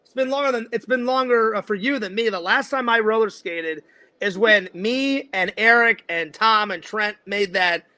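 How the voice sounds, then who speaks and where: A man's voice: sits high, moderate pace, bright, forceful tone. A man in his forties hosting a casual interview, rambling and restarting his sentences. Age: 40s. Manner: rambling, repeats words and restarts often. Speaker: host.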